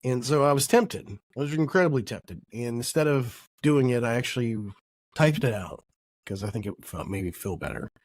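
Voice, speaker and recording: A man's voice: medium pitch, expressive, moderate pace, no room sound. Nasal man with a cold, reflective. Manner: reflective, rambling, self-correcting. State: has a cold. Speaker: podcast host. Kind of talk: casual podcast monologue.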